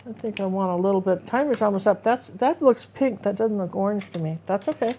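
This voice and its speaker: A woman's voice: mid-range voice, a little fast, dark tone, slight background noise. A woman teaching a live painting lesson, chatting loosely and trailing off as she works. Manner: chatty, rambling, self-interrupting. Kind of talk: casual live painting demo.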